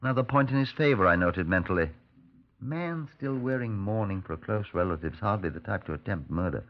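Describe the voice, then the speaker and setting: An actor playing a measured, courteous middle-aged British Scotland Yard superintendent, narrating and questioning in a 1950s radio drama. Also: male voice, lowish voice, lively intonation, lightly acted. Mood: reflective narrating. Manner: measured, polite, probing. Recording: muffled 1950s radio recording.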